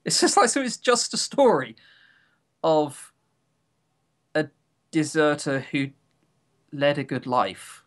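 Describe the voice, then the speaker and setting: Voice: medium pitch, very animated, slow, pauses often. Casual podcast co-host, mid-range voice, dry sarcasm, talking over an online call. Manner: wry, sarcastic, swears casually. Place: remote voice call.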